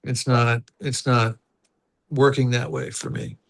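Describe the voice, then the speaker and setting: A man's voice: mid-range voice, flat delivery, slow, gravelly. A man, an actor, reflecting on his working method in an interview and searching for the right words as he goes. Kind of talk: interview answers.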